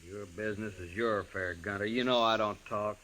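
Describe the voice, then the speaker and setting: A man's voice: on the low side, steady tone, brisk, bright, forceful tone. A man playing a terse, proud American gunfighter turned bartender, curt and unflinching, in an old-time radio western. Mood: cool, defiant.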